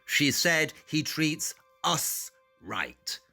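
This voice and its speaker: A razor-sharp detective, voiced by a man in an audio drama talks in a bright, forceful tone. He walks through his reasoning with dry, precise confidence, and his pitch rises and falls freely. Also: big pitch swings, drawn-out words, gravelly, soft background music, full character voice. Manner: precise, deductive, drily confident.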